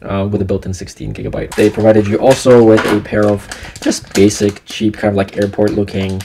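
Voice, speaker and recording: A man's voice: lowish voice, flat delivery, fairly quick, mellow. A man giving a casual product review in an unboxing video. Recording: clear background noise with clicks.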